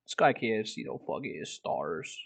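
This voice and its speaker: A man's voice: lowish voice, big pitch swings, unhurried, creaky. A man reading a book aloud as a streamer, breaking off into casual, irreverent, swearing commentary. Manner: irreverent, profane asides.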